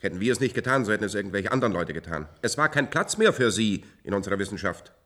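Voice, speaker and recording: An actor playing a smug, sardonic clinic chief in a radio play, pompous, mocking and condescending. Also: male voice, on the low side, sing-song, fast talker, a bit bright. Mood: smug, dismissive. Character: smug, worldly, sardonic male private-clinic chief doctor. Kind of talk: German science-fiction radio play acting.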